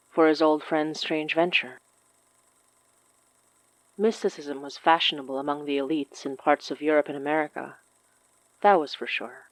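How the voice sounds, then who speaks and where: A woman's voice: deep voice, dry recording, rough recording. A woman hosting a fiction podcast, reading announcements and scripted story narration.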